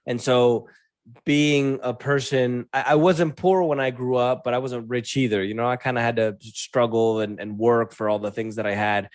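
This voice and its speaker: A man's voice: medium pitch, fairly even intonation, moderate pace, dry recording. A man in his late thirties, born in Venezuela, talking casually and telling stories as a podcast guest. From born in Venezuela, considers himself American. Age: late 30s. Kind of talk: casual conversational storytelling.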